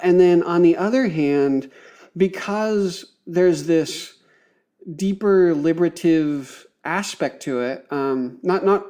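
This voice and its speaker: A man with a slightly high voice talks as a guest on a podcast. He explains things thoughtfully, pausing to find his words and leaning on academic vocabulary. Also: warm tone.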